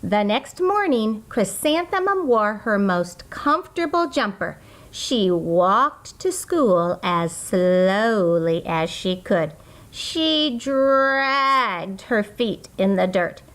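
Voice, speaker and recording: A woman's voice: medium pitch, expressive, slow, fairly bright tone. A woman reading a children's picture book aloud, expressively, drawing out words for emphasis. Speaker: children's storybook reader.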